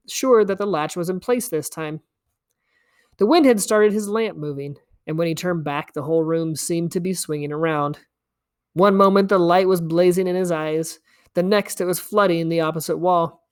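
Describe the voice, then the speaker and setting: A woman reading a story aloud as an expressive narrator. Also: on the low side, normal speed. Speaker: story reader.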